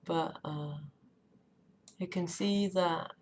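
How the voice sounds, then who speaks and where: A woman with a Danish accent chatting casually on a live stream, often pausing with "um" and "uh" as she searches for words. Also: on the low side, steady tone, a little mellow, a little hiss or hum. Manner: hesitant, many ums and uhs while searching for words.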